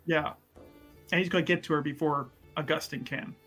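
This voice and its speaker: A man's voice: fairly high pitch, steady tone, brisk, pushed and bright, faint music behind. A man casually describing his character's moves as a tabletop game player, repeating words as he thinks. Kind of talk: casual improvised game talk.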